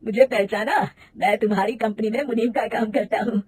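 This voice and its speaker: A woman's voice: a little high, steady tone, fairly quick, very noisy, lightly acted. A woman voicing a friendly old male clerk in a cartoon story, talking in a confiding, worried way.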